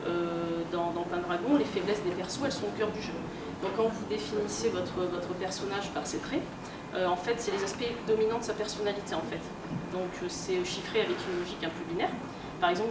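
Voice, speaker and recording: A woman giving an informal conference lecture, explaining in a colloquial, halting way with frequent hesitations and repeated words. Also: mid-range voice. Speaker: guest speaker, researcher and game publisher. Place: room at a convention, recorded from afar. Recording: distant roomy mic, loud background noise. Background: loud ambient noise.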